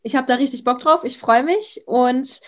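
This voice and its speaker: A young woman, an influencer, chatting casually in an interview, outgoing and talking in quick bursts with many fillers. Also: high voice, a bit bright. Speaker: influencer guest.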